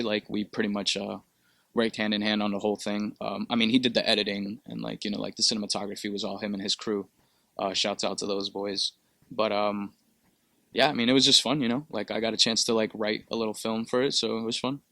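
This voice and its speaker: A young man with a low-pitched voice, recorded close and dry. He talks in a relaxed, rambling way, full of fillers and casual swearing. He grew up in Peru. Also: no echo. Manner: laid-back, rambling with many fillers and profanity. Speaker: musician guest. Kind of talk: casual interview.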